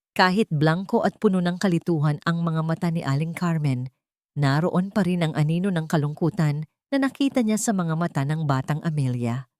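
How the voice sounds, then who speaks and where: A woman narrating a scripted audio drama in a steady, dramatic storytelling manner. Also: lowish voice, dry recording, clean studio sound.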